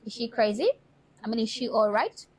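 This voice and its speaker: Higher-voiced woman giving critical commentary. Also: brisk, darkish tone, husky. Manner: critical, formal wording. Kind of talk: commentary, fluent scripted-sounding delivery.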